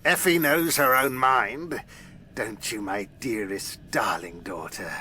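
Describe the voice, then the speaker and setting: A man's voice: medium pitch, slow, sharp tone, a little hiss or hum. An actor playing a possessive, menacing fairy king in an audio drama, with a theatrical, oily, scornful delivery.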